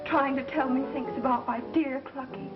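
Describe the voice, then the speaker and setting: An actress playing a bold, quick-witted young woman sleuth in a theatrical 1930s film style, wry and given to melodrama. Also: high-pitched, fairly even intonation, close to an everyday voice. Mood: coy, mock-innocent. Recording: dull 1930s film soundtrack with music.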